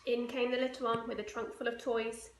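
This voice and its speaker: Fairly high-voiced woman reading a children's story. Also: level tone, brisk. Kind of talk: children's picture book read aloud. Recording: echoey room, faint noise.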